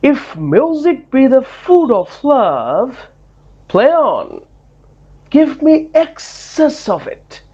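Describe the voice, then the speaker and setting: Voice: sits high, sing-song, extremely slow, warm tone, breathy edge, muffled recording, full character voice. A performer playing a lovesick, grandly romantic duke in a theatrical Shakespearean dramatic reading, with declamatory, swooning delivery. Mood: lovesick, yearning.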